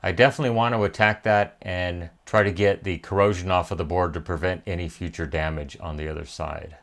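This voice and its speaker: A middle-aged man with an American accent and a fairly low voice hosts a hands-on repair video. He explains each step methodically and in plain-spoken terms, as a tutorial narrator at the workbench. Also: steady tone, a little fast, creaky. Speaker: electronics repair video host.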